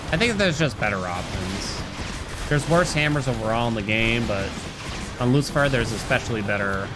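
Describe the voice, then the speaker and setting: A man's voice: medium pitch, lively intonation, normal speed. A man giving casual live video game commentary, thinking aloud as he plays. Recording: music behind the voice. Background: game music.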